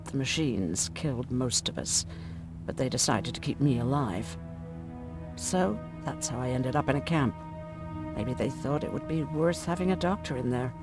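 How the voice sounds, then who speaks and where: An actress playing a middle-aged camp survivor, a weary, hardened doctor with a bitter, dryly ironic manner in a video game. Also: female voice, rumbling low, lively intonation, moderate pace, music in the background. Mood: guarded, dry. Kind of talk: video game drama acting.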